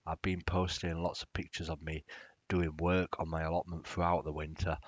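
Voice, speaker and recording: A man's voice: a bass voice, no echo, lo-fi sound. A man hosting a podcast, talking in a soothing, casual and rambling way. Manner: chatty, rambling, with fillers. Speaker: podcast host.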